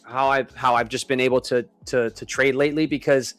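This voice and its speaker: A man's voice: mid-range voice, steady tone, fairly quick, fairly bright tone. A man of thirty-nine chatting casually as a live stream host, with informal, slangy bro-talk. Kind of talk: casual chat.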